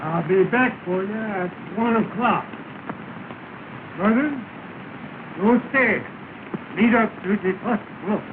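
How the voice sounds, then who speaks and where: A man's voice: high voice, slow pace, long pauses. An actor playing a terse, plain-spoken man in an early 1930s comedy film, heard on a scratchy old soundtrack. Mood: urgent instructing. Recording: scratchy, noisy, narrow-band 1930 film soundtrack.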